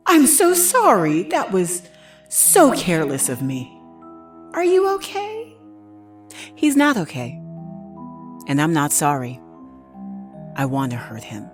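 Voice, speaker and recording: A woman's voice: deep voice, big pitch swings, slow pace, pauses often, soft background music, exaggerated voice. A woman playing a nurse in an audio drama, narrating theatrically in the first person, soothing on the surface and vengeful underneath. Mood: falsely soothing, then bitter contempt. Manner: theatrical, swinging between cooing reassurance and private contempt. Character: vengeful nurse, sweetly soothing outside, cold and bitter within.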